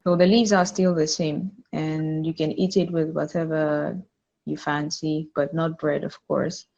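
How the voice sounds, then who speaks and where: A young woman with a Cameroonian accent answers casually as a guest. Her voice is fairly low and even, unhurried, on a slightly dull recording. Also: vocal fry. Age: 20s. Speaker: podcast guest, student interpreter.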